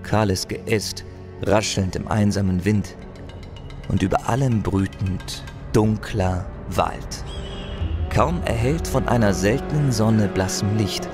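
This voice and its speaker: A man's voice: lowish voice, takes it slowly, music in the background. A man acting a solemn, eloquent wandering adventurer and chronicler in an audio drama, with theatrical delivery. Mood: solemn, brooding.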